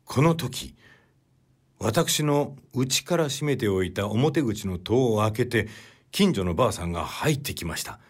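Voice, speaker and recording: A man playing a humble, troubled man in a period literary story, recounting his brother's death politely and in measured phrases. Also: fairly low pitch, animated, breathy edge. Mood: grave, humble.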